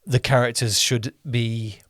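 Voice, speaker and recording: A man's voice: mid-range voice, fairly even intonation, measured pace, fairly bright tone, no echo, studio quality. A man hosting a podcast, chatting casually as an interviewer and often rephrasing as he goes. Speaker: podcast host and producer.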